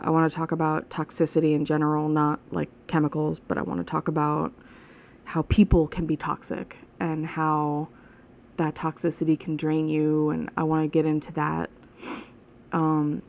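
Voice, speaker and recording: A woman's voice: low voice, creaky, a little mellow, a little hiss or hum. A woman in her thirties hosting a casual solo podcast, rambling and chatty, frank and swearing now and then. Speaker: podcast host. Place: alone at home.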